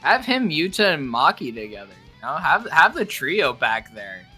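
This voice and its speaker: A man's voice: on the high side, very animated, normal speed, pushed and bright, faint music behind. A young man co-hosting a casual ranking chat, giving opinions in hesitant, rambling fragments. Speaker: co-host of a character ranking discussion.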